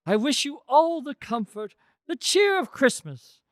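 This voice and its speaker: A man performs a hearty, festive old merchant for a radio drama. The voice sits very high and leaps around in pitch. He exclaims exuberantly, like a master rallying his household. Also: very animated, a little slow, dry recording, full character voice. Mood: merry, warm.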